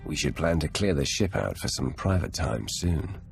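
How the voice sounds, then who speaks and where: An actor playing a ruthless, commanding male dark lord in a sci-fi video game, speaking in measured, terse lines. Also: rumbling low, lively intonation, vocal fry, soft background music, full character voice. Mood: tender, composed. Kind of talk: scripted video game dialogue.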